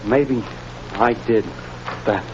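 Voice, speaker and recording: An actor playing a jumpy, guilty gangster in an old radio drama, agitated and talking in rough street slang. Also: male voice, mid-range voice, takes it slowly, lightly acted. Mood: panicked, defensive. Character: jumpy, guilty male gangster cracking under pressure. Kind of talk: 1930s radio drama acting. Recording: muffled, noisy old radio recording.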